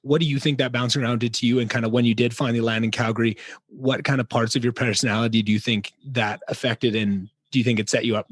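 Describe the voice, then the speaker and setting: A man's voice: mid-range voice, fairly even intonation, fast, no echo. A man hosting a podcast, asking long, meandering questions full of restarts and fillers. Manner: rambling, lots of restarts and fillers. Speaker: podcast host. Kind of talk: casual interview chat.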